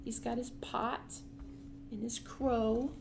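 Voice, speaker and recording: High-voiced, unhurried woman hosting children's storytime. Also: level tone, creaky. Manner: simple explanations and questions addressed to young children. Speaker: library storytime host. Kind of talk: children's storytime read-aloud with asides and questions.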